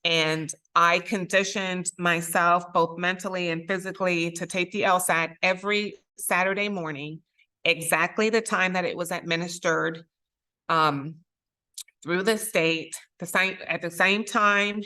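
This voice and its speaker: A middle-aged woman from Puerto Rico, Spanish her first language, speaks to a room of students with earnest emphasis. Her voice sits low and sounds bright and forceful. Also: fairly even intonation, unhurried. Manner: earnest, emphatic, repeats key phrases. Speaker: circuit court judge, guest speaker. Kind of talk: motivational talk to students.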